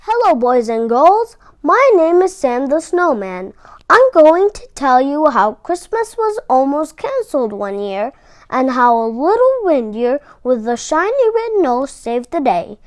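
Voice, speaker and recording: A woman reading a children's story aloud with expressive, deliberate delivery and dramatic emphasis. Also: sits high, no room sound.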